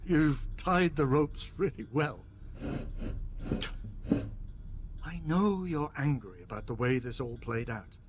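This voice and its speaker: A man's voice: on the high side, a little slow, noisy background, lightly acted. A man playing an earnest, placating department manager in a radio play, justifying himself. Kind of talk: radio play monologue acting.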